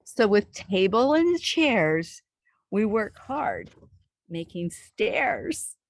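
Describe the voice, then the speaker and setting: A woman reading a picture book aloud to young children outdoors, expressively, pausing to put questions to the listener. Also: medium pitch, very animated, gravelly. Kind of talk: animated read-aloud storytelling for young children. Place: outdoors in a backyard with trees.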